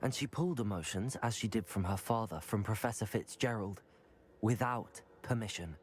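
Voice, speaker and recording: A man's voice: lowish voice, normal speed, slight background noise, close to an everyday voice. A man playing an earnest, determined young wizard student in a fantasy game, polite and articulate. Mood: serious, informative. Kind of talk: dramatic fantasy video game acting.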